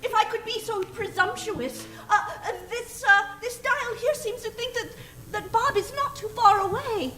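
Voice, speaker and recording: An actress playing a timid, deferential space sidekick in old-time radio comedy style, hesitant and polite. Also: female voice, very high-pitched, normal speed, pushed and bright, faint music behind. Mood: meek. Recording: distant mic, roomy echo.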